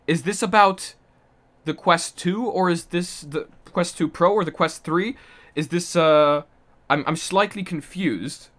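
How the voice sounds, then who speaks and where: A man's voice: on the high side, fairly bright tone. Chatty Polish-born man streaming live. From Poland. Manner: chatty, laughs often, reads chat aloud. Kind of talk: casual live-stream chat.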